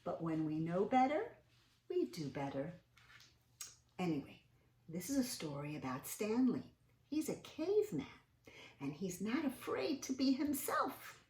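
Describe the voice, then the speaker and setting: A woman reading a storybook aloud to young children in an expressive way, with little interjections and questions to her listeners. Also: mid-range voice, unhurried, long pauses. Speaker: children's read-aloud host. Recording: roomy, echoing sound.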